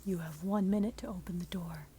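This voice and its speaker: A woman voicing a calm, demanding male government agent in a whispered horror story. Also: on the low side, fairly quick, a little hiss or hum, lightly acted. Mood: calm menace.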